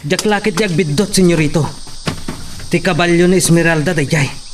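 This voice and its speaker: Man acting a high-voiced Ilocano farmhand. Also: moderate pace, close to an everyday voice. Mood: alarmed. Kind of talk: Ilocano radio-style drama acting. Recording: faint background noise, insects.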